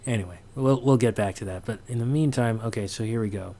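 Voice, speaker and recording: A man giving a casual, unscripted sound design tutorial, halting and thinking aloud as he works. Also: mid-range voice, mellow, slight background noise. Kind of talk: casual, unscripted software walkthrough.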